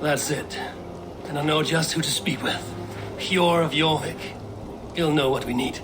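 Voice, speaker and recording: An actor playing a bold, blunt Viking warrior in a video game cutscene, terse, plain-spoken and steady. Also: male voice, fairly high pitch, unhurried, lightly acted. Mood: scheming. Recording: clearly noisy ambient background.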